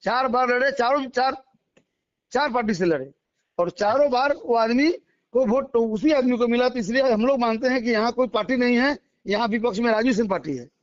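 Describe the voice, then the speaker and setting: High-pitched Bihari man on a dull phone recording. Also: brisk, dry recording. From Bihar, India. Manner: emphatic, repetitive, colloquial. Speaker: local politician being interviewed. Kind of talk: spontaneous political phone interview. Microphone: phone call.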